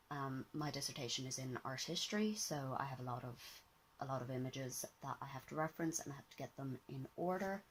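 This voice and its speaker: Tired low-voiced young woman vlogging. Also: a little hiss or hum, poor audio. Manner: rambling, self-correcting, drops into whispers. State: tired and a bit hoarse. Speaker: vlogger, master's student. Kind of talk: casual vlog talk to camera. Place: her bedroom at home. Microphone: handheld phone at arm's length.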